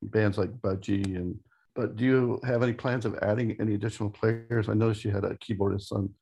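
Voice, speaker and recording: Guy cohost, husky creaky voice, asks interview questions casually. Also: fairly low pitch, steady tone, brisk, warm tone. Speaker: podcast co-host. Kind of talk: conversational interviewing.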